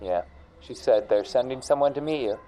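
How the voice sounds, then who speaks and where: A man's voice: medium pitch, fairly quick, warm tone, pitched above the performer's own voice, natural voice, rough recording. A man playing a weary, protective rebel uncle in a tabletop game, terse and reassuring. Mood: weary, fond.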